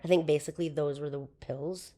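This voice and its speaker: A young woman with a hoarse voice, chatting casually as a podcast guest, with lots of fillers. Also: sits low, steady tone, fairly quick, dark tone. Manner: chatty, with frequent fillers and laughs. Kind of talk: casual interview chat.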